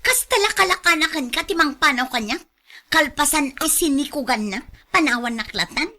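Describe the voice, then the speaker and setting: A high, sharp voice in an Ilocano radio drama: a woman acting a jilted girlfriend. She is indignant and accusing, with an Ilocano accent. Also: lively intonation, moderate pace, full character voice. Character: indignant jilted live-in girlfriend confronting her lover.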